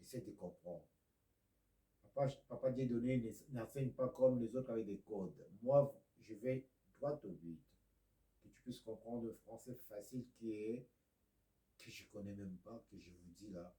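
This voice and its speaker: A man from Congo preaching a video sermon, a speaker of Lingala and Kikongo who swings from loud declaiming to near-whispers. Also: on the low side, a little slow, echoey room, low-quality recording.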